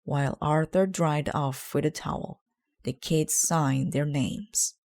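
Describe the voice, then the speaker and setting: Low-pitched woman reading a storybook slowly. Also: fairly even intonation, creaky, a little mellow. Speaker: storybook reader. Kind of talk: children's book read aloud, narration.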